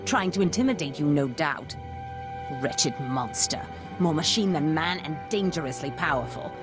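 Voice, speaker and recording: A woman's voice: low voice, expressive, moderate pace. An actress playing a scheming, imperious Sith master in a video game, theatrical and sly, with music behind her. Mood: bitter contempt. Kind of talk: dramatic video game acting.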